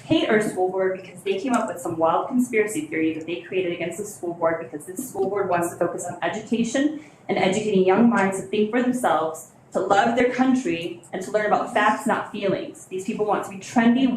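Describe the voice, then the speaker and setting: A woman giving a combative, indignant public comment at a school board meeting. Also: mid-range voice. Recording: echoey meeting room with clear hiss.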